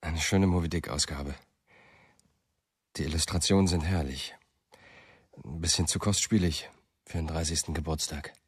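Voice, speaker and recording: A man's voice: very deep voice, normal speed, pauses often, slightly rough, no room sound, studio quality. An actor playing a brooding, self-doubting male writer and storyteller in a German radio play. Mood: wry, reflective.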